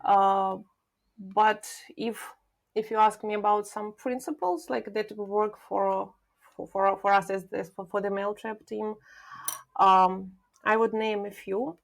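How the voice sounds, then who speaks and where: A woman speaks as a guest on a podcast, explaining her work in a measured, thoughtful way. Her voice carries a noticeable vocal fry, and she often pauses with filler sounds while searching for words. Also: medium pitch, steady tone. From Ukraine. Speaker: guest, product manager. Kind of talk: podcast interview.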